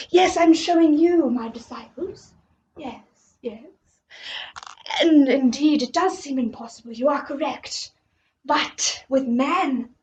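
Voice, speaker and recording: A woman voicing Jesus as a solemn teacher for children, slow and theatrical, with hushed breathy words. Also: high-pitched. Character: grand, solemn Jesus teaching his disciples. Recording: slightly dull recording with faint noise.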